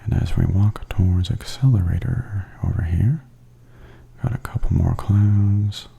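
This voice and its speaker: A man giving softly spoken ASMR tour commentary in a breathy, often near-whispered voice, gentle and observational. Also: low voice, a little slow. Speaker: vlogger giving a walking tour. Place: walking through a theme park and its indoor attractions. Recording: steady background noise and ambience.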